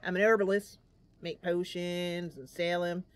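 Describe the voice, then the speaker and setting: A woman's voice: lowish voice, level tone, unhurried, clean tone, pitched below the performer's own voice, lightly acted. A woman voicing an eccentric old male herbalist in a game roleplay, rambling in a folksy, theatrical way. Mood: folksy, musing. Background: game music.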